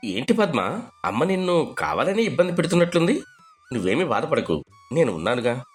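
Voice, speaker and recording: A man's voice: medium pitch, big pitch swings, brisk, slightly rough, soft background music. A man voicing a mild, kind, dutiful young son and husband in a moral story, speaking earnestly. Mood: concerned, reassuring. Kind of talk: Telugu moral story character voice.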